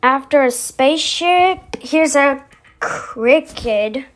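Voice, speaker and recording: A high-pitched voice hosts a casual vlog at an unhurried, slow pace. The speaker rambles along, thinking aloud between one remark and the next. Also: animated, fairly bright tone. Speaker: video host.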